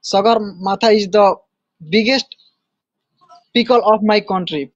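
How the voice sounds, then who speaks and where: A man's voice: high-pitched, a little slow, dry recording, lo-fi sound. A young man from Nepal with a Nepali accent, chatting casually in simple learner English and often repeating his phrases. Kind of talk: casual practice conversation.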